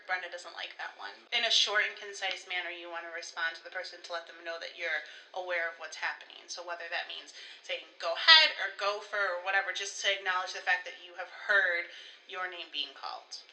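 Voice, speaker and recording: A woman's voice: fairly low pitch, fairly even intonation, fairly quick, sharp tone, rough recording. A woman co-hosting a conversational staff training talk, explaining procedures step by step with examples. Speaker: co-host, school staff trainer.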